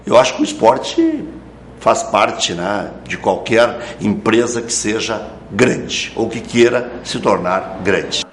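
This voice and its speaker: A man in a reflective interview about radio, speaking in a measured way with frequent asides. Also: on the low side, very animated, echoey room, slight background noise.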